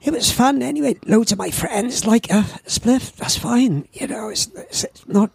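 A man in his seventies with a Welsh accent and a croaky old voice, chatting as a podcast guest and telling lively stories that repeat words for effect. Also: extremely high pitch, normal speed, no echo. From Wales. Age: 70s. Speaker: guest, veteran surfer. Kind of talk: casual anecdotal chat.

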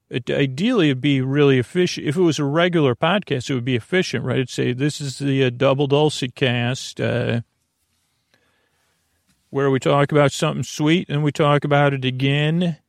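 Creaky-voiced man, rambling bedtime podcast host. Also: medium pitch, dry recording, clean studio sound. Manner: meandering, tangled, self-deprecating. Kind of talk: rambling bedtime monologue to the listener.

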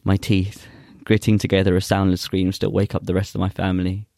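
Deep, flat-voiced young man. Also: vocal fry, dry recording, clean studio sound. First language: Urdu. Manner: earnest, halting with many fillers. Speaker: poet guest. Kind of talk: casual chat and spoken-word poetry recital.

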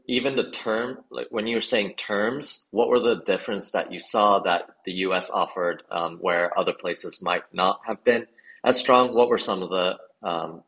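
A man with a very low voice hosts a podcast interview. He asks curious questions that ramble a little as he works them out aloud. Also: fairly even intonation, normal speed, fairly bright tone, poor audio. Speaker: podcast host.

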